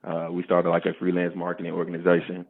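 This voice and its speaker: A man's voice: low voice, completely flat delivery, fast talker. A man in his twenties from the American South, a guest on a podcast, chatting in an easygoing way and often calling the host "man". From Arkansas, US South. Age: 20s. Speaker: podcast guest, startup co-founder. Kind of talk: casual interview chat. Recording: phone-line sound.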